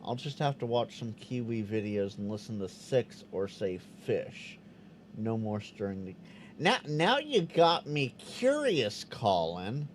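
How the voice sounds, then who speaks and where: A man hosting a live stream, chatting loosely with his viewers and sounding out Morse code as he goes. His pitch jumps up and down, and he restarts his words often. A faint hum of room ambience sits behind him. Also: sing-song, slow pace. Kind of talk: casual live-stream chat reading viewer comments.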